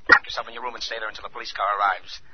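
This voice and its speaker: An actor playing a brusque police inspector on the telephone in a 1940s radio thriller, clipped and commanding. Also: male voice, on the low side, level tone, racing, natural voice. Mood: urgent warning. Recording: muffled 1940s radio, light noise.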